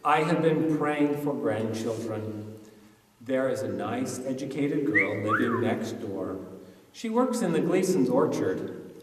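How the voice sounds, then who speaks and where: A devoted older pioneer mother voiced by a male storyteller speaks gently and slowly. The sound is distant, with the echo of a hall. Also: mid-range voice, lively intonation, a little hiss or hum. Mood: fond, pleased. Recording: echoey hall, distant microphone.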